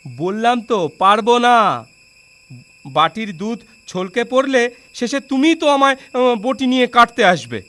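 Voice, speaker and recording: An actor playing a homeless blind young man in a children's cartoon, plaintive, humble and halting. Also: very high voice, big pitch swings, bright, forceful tone, heavily acted. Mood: defiant, plaintive.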